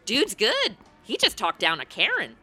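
Woman acting a high, bright, sarcastic Santa's helper. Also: huge pitch swings, unhurried, soft background music, heavily acted. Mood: dry. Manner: sarcastic, flippant, blunt. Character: brash, blunt young woman working as Santa's sarcastic helper. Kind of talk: comedic radio play acting.

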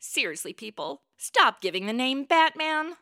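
A woman's voice: high-pitched, sing-song, normal speed, pushed and bright, exaggerated voice. An actress playing a sarcastic, wisecracking career woman in a comedic radio play, blunt and irreverent. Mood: exasperated, snarky.

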